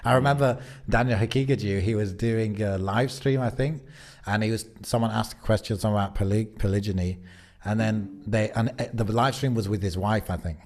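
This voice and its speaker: Lowish voiced guy cohosting a podcast, reflective, measured. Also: darkish tone. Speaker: podcast co-host. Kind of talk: casual podcast chat.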